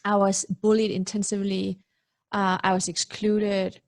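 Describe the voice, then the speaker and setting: A woman's voice: mid-range voice, little pitch movement, a little slow, no echo. Woman in her 40s, from Denmark, effusive and personal, guest on a video call, dry close sound. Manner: warm, effusive and personal, with "you know" fillers. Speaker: guest speaker. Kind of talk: candid interview talk.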